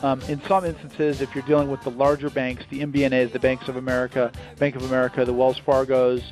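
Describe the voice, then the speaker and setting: A man giving an emphatic, salesy pitch as a company founder on a promotional call, repeating phrases for effect. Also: monotone, fast, a little mellow, faint music behind. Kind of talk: promotional interview on a conference call.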